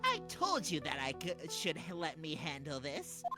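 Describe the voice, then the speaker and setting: A woman's voice: deep voice, wildly swooping pitch, moderate pace, music in the background. A woman voicing a nervous, bumbling male prosecutor in a courtroom video game, stammering and flustered. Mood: timid. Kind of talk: amateur game voice acting.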